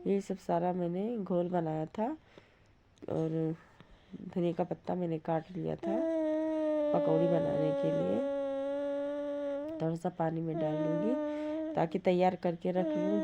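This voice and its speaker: Hoarse, low-voiced woman narrating slowly. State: hoarse from a cough and cold. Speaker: home cooking vlogger. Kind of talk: casual cooking vlog voice-over. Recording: dull lo-fi sound, light background noise.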